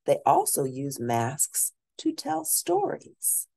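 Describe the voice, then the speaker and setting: A woman with a very deep, low-set voice reads a picture book aloud to young listeners. She takes her time over each line, and her pitch rises and falls in a lively, animated way. The sound is close and dry, with no room echo. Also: vocal fry. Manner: slow, deliberate read-aloud pacing with questions to listeners. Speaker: teacher.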